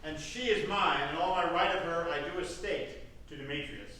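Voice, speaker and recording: A man's voice: fairly high pitch, normal speed, pushed and bright, slight background noise. A man playing a scornful, possessive father, delivering Shakespearean verse in a staged reading. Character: scornful, possessive father asserting his rights. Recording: very echoey room, distant from mic.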